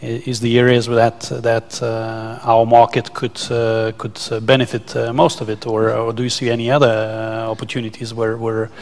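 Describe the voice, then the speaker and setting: Male moderator, creaky voice, fairly flat, keeps repeating words, echoey hall. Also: mid-range voice. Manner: halting, repeats words while forming questions. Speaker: panel moderator. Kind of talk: moderating a panel discussion. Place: indoor conference stage. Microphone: headset microphone. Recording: reverberant conference hall.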